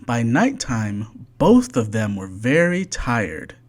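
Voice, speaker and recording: Storyteller for a children's bedtime book: unhurried, deliberate, animated intonation. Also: medium pitch. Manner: slow, deliberate, expressive read-aloud. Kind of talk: scripted children's storybook narration.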